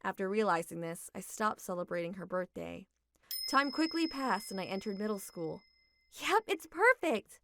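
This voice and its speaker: A young adult woman narrating a manga dub story in a steady, reflective reading. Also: medium pitch, moderate pace, a little hiss or hum.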